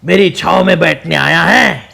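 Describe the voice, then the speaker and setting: A man voicing a talking tree, a commanding, bullying trickster with a gravelly, husky voice. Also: a little high, unhurried, pushed and bright, heavily acted. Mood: menacing.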